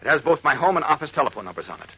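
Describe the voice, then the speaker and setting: An actor playing an earnest, level-headed newspaper reporter with a husky voice in a 1940s radio drama. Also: male voice, fairly high pitch, big pitch swings, racing, heavily acted. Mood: sober, resolved. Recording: muffled vintage radio recording.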